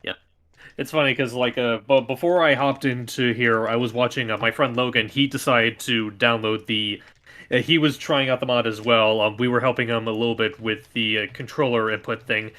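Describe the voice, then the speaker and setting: A man's voice: mid-range voice, fairly even intonation, moderate pace, pushed and bright. A man appearing as a voice actor guest on a game stream, chatting casually and cracking jokes. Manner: upbeat, joking, with many fillers. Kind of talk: casual live-stream chat.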